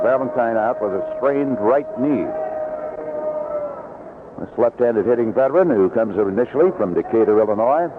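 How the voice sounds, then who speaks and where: A North American man calling baseball play-by-play as a live radio announcer. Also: mid-range voice. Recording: tinny, noisy vintage AM radio broadcast.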